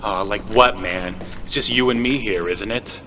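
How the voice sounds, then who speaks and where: A man's voice: lowish voice, moderate pace, pushed and bright, echoey room, long reverb tail, slight background noise. An actor playing a sarcastic, exasperated insomniac everyman in an audio drama, wry and self-deprecating. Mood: uneasy. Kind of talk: audio drama acting and monologue.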